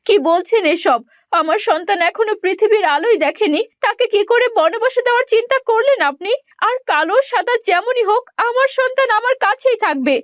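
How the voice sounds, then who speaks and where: Woman playing an extremely high-pitched indignant queen. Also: lively intonation, brisk, no echo, studio quality. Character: indignant queen fiercely protecting her unborn child. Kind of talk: Bangla cartoon fairy-tale voice acting.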